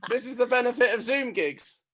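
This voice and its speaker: Laid-back man with a high voice. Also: brisk, a bit bright, husky. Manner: laid-back, hesitant, laughing. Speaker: judge. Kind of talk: casual chat. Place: home, on a video call.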